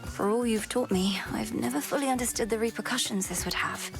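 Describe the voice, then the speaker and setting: A woman's voice: a little high, fairly quick, gravelly, over music. A woman voicing an earnest young witch princess who speaks formally and politely to her elders.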